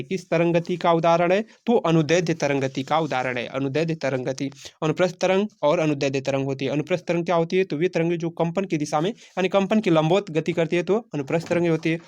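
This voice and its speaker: A man's voice: on the high side, darkish tone. A man teaching a quiz-style lesson, repeating phrases and answering his own questions. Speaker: teacher of exam-prep science class.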